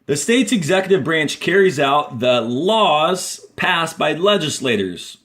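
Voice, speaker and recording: A male elementary school teacher with an American accent reads a textbook aloud in a clear, deliberate instructional way, adding brief asides. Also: fairly high pitch, big pitch swings, fairly bright tone.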